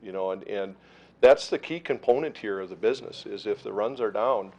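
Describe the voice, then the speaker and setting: A middle-aged man who runs an ambulance service, answering questions at a council meeting in a steady, reassuring way with frequent fillers. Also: lowish voice, a little fast.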